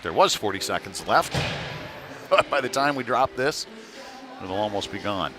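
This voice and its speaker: A man doing live hockey play-by-play commentary in a halting delivery with mid-sentence pauses. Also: lowish voice, lively intonation, over music. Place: indoor ice rink during a game. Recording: noisy rink sound behind the voice. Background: clear venue noise.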